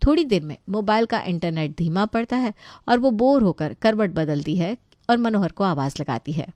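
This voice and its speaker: A woman's voice: mid-range voice, expressive, moderate pace, mellow, no room sound. A woman narrating a scripted Hindi story as the storyteller.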